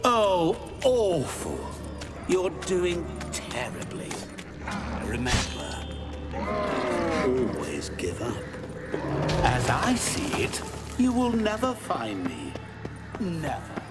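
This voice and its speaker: A sinister devil in a man's guise, taunting and theatrical as in a dark fantasy game. He savors his words at a slow pace, and his pitch swoops widely. Loud music plays behind him. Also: wildly swooping pitch, full character voice. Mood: gloating, mocking. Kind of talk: dramatic video game acting.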